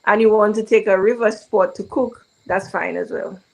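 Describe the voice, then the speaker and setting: A woman's voice: mid-range voice, steady tone, normal speed, gravelly, a little mellow, rough recording. Woman organizer, mid voice, reassuring. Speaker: event organizer. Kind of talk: interview answers.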